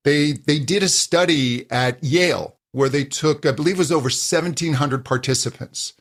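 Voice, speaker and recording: A man who coaches voice users, answering interview questions and explaining at an uneven, animated pace. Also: on the high side. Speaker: voice coach, guest.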